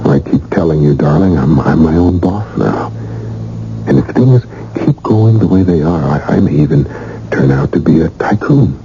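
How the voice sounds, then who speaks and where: A man's voice: rumbling low, animated, normal speed, mellow. An actor playing an eager, greedy young husband and businessman in a radio drama, insistent and emotional. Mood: anxious, tender. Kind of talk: 1980 radio drama acting. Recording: dull, noisy old radio broadcast recording.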